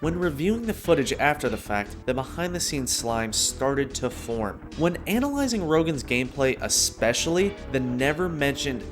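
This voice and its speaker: A man's voice: mid-range voice, big pitch swings, moderate pace, hardly any pauses, music in the background. A man narrating a gaming tournament video in a casual, scripted style.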